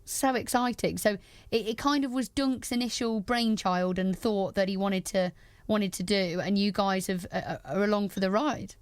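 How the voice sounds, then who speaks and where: A woman hosts a sports chat on local radio in an encouraging, enthusiastic manner. Her medium-pitched voice sounds close and dry, with hardly any room around it. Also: steady tone, normal speed, no echo. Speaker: radio presenter interviewing guests.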